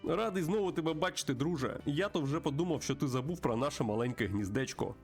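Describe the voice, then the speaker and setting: A man's voice: on the high side, expressive, fast, soft background music, pitched above the performer's own voice. A man voicing a chatty, friendly bird bartender in a western game. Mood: chummy, wistful.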